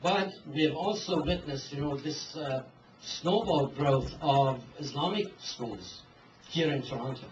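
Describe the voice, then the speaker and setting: Halting man, distant and roomy. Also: fairly high pitch. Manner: halting, many fillers and restarts. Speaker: interviewer. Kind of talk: hesitant spoken question to a speaker. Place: event hall. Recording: distant mic, dull, roomy hall with hiss.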